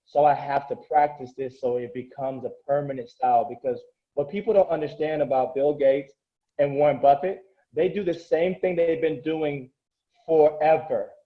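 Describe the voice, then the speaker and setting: Earnest motivational coach, lo-fi sound. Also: male voice, on the high side, normal speed. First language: Korean. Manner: earnest, motivational, rambling with restarts. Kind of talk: casual interview talk.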